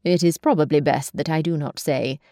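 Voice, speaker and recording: A woman voicing a charming, careless young gentleman who teases his sister affectionately. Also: low voice, a little fast, dry recording, studio quality, pitched below the performer's own voice. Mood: breezy. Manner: airy, teasing, affectionate.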